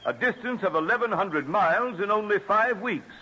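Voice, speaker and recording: An actor playing a spirited, blunt, bossy gentleman in an old-time radio drama. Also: high-pitched, lively intonation, normal speed. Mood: hearty. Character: Lewis Willis, spirited gentleman friend, bossy toward servants. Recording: muffled old radio recording with hiss.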